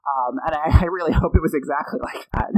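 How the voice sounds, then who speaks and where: A man's voice: on the high side, fast talker, no room sound, low-quality recording. A man guesting on a podcast, telling a story in a chatty, jokey way and reading quotes aloud. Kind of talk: casual storytelling chat.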